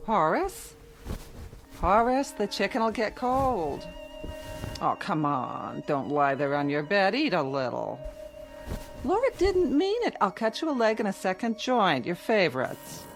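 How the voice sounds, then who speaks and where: A woman's voice: mid-range voice, very animated, measured pace. An actress playing a doting, fussing older mother who coaxes and complains, in a mid-century television drama. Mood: coaxing. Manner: coaxing, complaining, nagging. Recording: vintage TV soundtrack with music.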